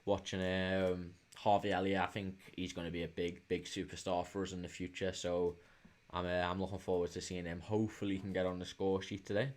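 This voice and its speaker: A man's voice: sits low, flat delivery, brisk, few pauses. A man with a Liverpool accent hosting a casual football watch-along stream, chatting informally and hesitantly as he answers viewers' comments. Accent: Liverpool English. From England, Liverpool. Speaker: livestream host.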